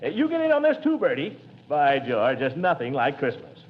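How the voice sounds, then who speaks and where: An actor playing a blustery, jovial middle-aged bachelor uncle in 1940s radio acting, booming and hearty. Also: male voice, wildly swooping pitch, a little fast. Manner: booming, hearty, theatrical chuckle. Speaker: lead character. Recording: dull vintage radio broadcast, faint hiss.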